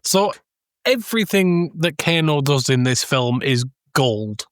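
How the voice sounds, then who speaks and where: A man co-hosting a casual film discussion, telling anecdotes in an animated way and acting out quotes. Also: fairly high pitch, a little slow, clean tone, no room sound, clean studio sound. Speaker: podcast co-host.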